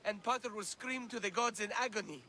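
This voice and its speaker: Pompous high-voiced young son. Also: male voice, fairly quick, sharp tone, slight background noise. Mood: grave, pompous. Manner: self-important, melodramatic. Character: pompous, deluded young son, mock-ferocious, prophecy-obsessed. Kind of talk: video game cutscene acting.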